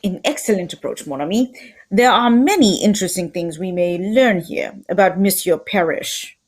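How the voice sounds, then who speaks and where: A woman playing a shrewd, polite Belgian male detective with a put-on Belgian French accent, formal and deliberate, in an old-time radio mystery style. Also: lowish voice, animated, voice pushed down. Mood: measured.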